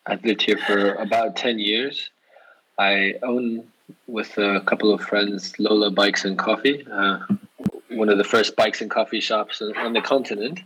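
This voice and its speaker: Man, American accent, lower-pitched voice, casual chat, interview guest. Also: fairly even intonation, unhurried, bright, forceful tone, slightly rough, rough recording. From United States. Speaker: interview guest, bike shop owner.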